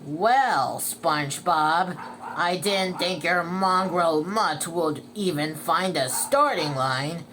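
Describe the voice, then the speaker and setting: A woman's voice: lowish voice, takes it slowly, fairly bright tone, a little hiss or hum, poor audio. A woman voicing a snide male cartoon squid in a storybook, mocking and crowing as he roots for his snail.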